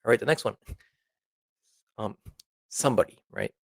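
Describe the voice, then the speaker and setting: A man's voice: lowish voice, animated, normal speed, gravelly, no room sound. A man teaching an informal language lesson, explaining example phrases conversationally and often checking in with the listener.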